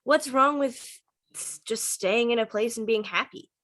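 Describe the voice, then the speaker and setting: A somewhat high, dry-sounding voice of a contented small-town kid acted by a woman at a table read. The delivery is low-key and doubtful, with a skeptical streak. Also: normal speed, fairly bright tone, dry recording, lightly acted. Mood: earnest. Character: young child Dante, contented, skeptical small-town homebody.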